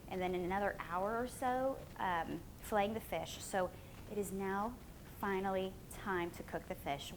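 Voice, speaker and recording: A woman's voice: medium pitch, slight background noise, poor audio. A woman talking casually to viewers as a vlog host, walking through food and fish with playful, informal exclamations. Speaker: fishing and cooking vlog host. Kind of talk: casual vlog, cooking walkthrough.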